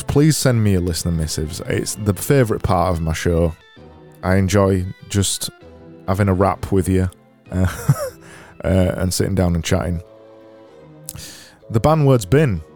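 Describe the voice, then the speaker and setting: A man with a Barnsley accent hosting his own podcast, talking alone in a sarcastic, deadpan, self-mocking way. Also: on the low side. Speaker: podcast host. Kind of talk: solo comedic podcast monologue.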